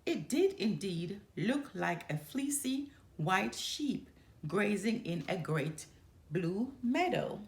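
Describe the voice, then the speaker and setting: A woman storyteller reading a children's picture book aloud in an expressive, deliberate way. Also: mid-range voice, reverberant. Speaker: storyteller, channel host.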